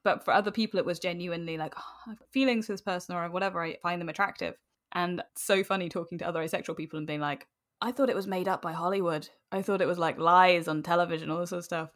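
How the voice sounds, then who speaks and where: A British woman talking casually as an interview guest, animated and self-deprecating, often acting out bits of imagined dialogue. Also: on the low side, quick pace. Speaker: podcast guest.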